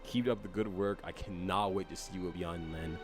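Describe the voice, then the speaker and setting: A man's voice: low-pitched, steady tone, moderate pace. A man narrating a game team's update in an earnest, informal way, speaking directly to the audience. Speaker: announcer for a game development team. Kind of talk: semi-scripted update and thank-you narration. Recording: music playing clearly behind the voice.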